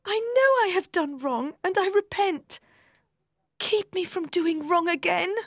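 A remorseful young woman, pleading and earnest, as a woman acts her. Her voice sits high, and the recording is close and dry. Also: measured pace, fairly bright tone, no room sound, exaggerated voice. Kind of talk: audiobook drama reading.

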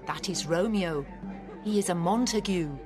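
A woman's voice: lowish voice, unhurried, close to an everyday voice. The nurse is a plain-spoken household woman , as a woman plays her in a storybook reading. She speaks in a plain, informative way. Faint music plays behind her. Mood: matter-of-fact. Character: Juliet's nurse, a matter-of-fact woman.

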